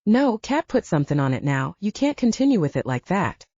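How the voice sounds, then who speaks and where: Audiobook role for a female reader: confident, teasing male soldier who urges and reassures, mellow husky delivery, dry and close. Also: lowish voice, sing-song, a little fast, no room sound, muffled recording. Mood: concerned, bossy. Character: cocky, reassuring male werewolf soldier, playful and insistent. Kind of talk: audiobook character dialogue.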